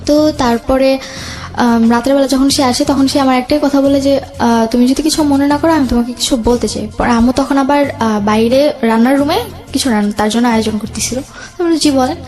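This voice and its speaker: High-pitched young woman telling her story. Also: fairly even intonation, fairly quick, a little mellow. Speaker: guest telling own life story. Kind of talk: personal storytelling in interview. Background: background music.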